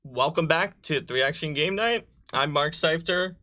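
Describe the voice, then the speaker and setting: A man's voice: on the high side, a little slow, bright, forceful tone. A man hosting a live tabletop game show in casual chat. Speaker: host of a tabletop game livestream. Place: indoor studio, live stream.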